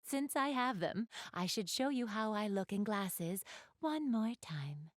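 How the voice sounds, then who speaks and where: A woman's voice: medium pitch, lively intonation, measured pace, dry recording, clean studio sound, natural voice. A woman playing an elegant, doting big-sister goddess in a video game, speaking in polite, formal, refined phrases straight to the listener. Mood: gentle, poised. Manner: polite, formal, refined diction, gushing over what she adores. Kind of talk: video game character acting, addressing the listener.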